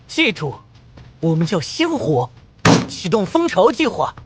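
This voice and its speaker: A man's voice: high-pitched, wildly swooping pitch, unhurried, heavily acted. A man playing a resolute, defiant young leader in a motion-comic drama, solemn and commanding. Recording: loud music under the voice. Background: loud music.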